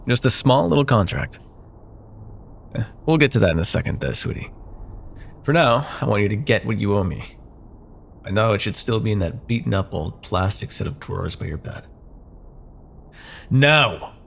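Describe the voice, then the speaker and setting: Man acting a deep, gravelly, menacing CEO ex. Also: lively intonation, a little hiss or hum. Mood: commanding, possessive. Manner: silky condescending drawl, pet names, pointed pauses. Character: smug, wealthy corporate CEO, menacing possessive jilted ex-lover. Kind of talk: ASMR roleplay, intimate dramatic monologue.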